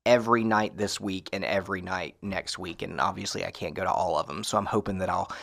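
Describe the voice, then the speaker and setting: A woman with an extremely low voice talks casually as a guest film critic. She sounds conversational, as in an in-studio radio chat. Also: fairly even intonation, fairly quick, vocal fry, a bit bright.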